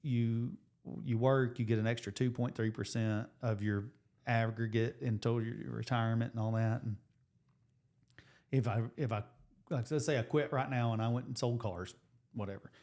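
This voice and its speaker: A man in his forties, a high school coach and teacher, co-hosting a casual podcast and talking things through at length with many restarts. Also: fairly even intonation, moderate pace. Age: 40s. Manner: rambling, restarts, thinks out loud with numbers. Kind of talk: casual chat.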